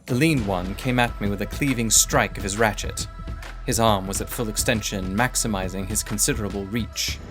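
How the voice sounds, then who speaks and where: A man narrating a first-person adventure story in a measured, formal, literary manner. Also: lowish voice, over music.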